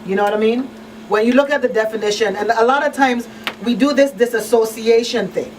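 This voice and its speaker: A woman's voice: fairly high pitch, brisk. A woman hosting a radio talk show, speaking conversationally and emphatically, repeating phrases to drive her points home. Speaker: talk show host and crisis counselor. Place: radio studio. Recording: noisy radio room, slightly distant mic.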